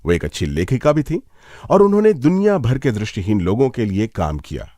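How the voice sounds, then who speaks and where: Animated male radio host. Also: mid-range voice, fairly quick, no room sound, studio quality. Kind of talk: radio magazine presenting.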